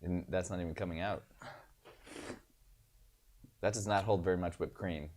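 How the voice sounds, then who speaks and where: A man's voice: deep voice, steady tone, normal speed, darkish tone, slight background noise. A man co-hosting a live trivia stream from an office, teasing his partner in dry, casual banter. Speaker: co-host.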